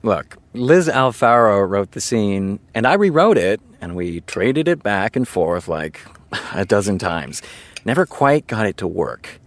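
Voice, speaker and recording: A wry, self-deprecating showrunner in an audio drama. His voice sits fairly low and is recorded dry and close. He talks like a storyteller, letting pauses land. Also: big pitch swings, dry recording. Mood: rueful, confiding. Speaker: television writer character. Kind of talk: naturalistic audio drama dialogue.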